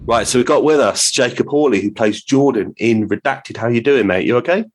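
A man's voice: mid-range voice, expressive, a little fast, soft background music. A British man hosting a podcast in a casual, conversational interview style.

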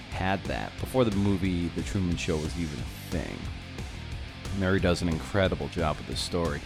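Guy hosting a podcast, low voice, chatty and a bit playful, guitar music around. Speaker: podcast host and interviewer. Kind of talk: scripted podcast intro, then conversational interviewing.

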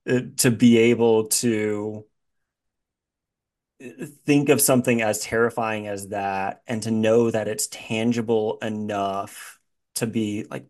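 A man's voice: lowish voice, flat delivery, takes it slowly, darkish tone. A man hosting a podcast, speaking in a casual interview style with a hesitant, thoughtful manner. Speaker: podcast host.

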